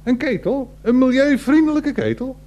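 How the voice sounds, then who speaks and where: An actor playing a colloquial, haggling tradesman in a radio play, halting and repeating himself. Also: very high-pitched, lively intonation, darkish tone, lo-fi sound. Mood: doubtful, haggling.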